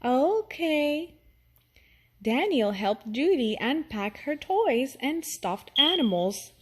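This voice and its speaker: A woman reads a picture book for young children. Her voice sits fairly high and swings widely in pitch. She takes her time, telling the story in an animated, expressive way. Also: takes it slowly, breathy edge. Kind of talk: expressive read-aloud narration for young children.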